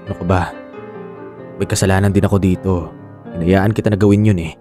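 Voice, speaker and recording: An actor with a Filipino accent playing a steady young boyfriend in radio drama dialogue, reassuring and firm but resigned. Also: male voice, deep voice, brisk, faint music behind, lightly acted. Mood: consoling.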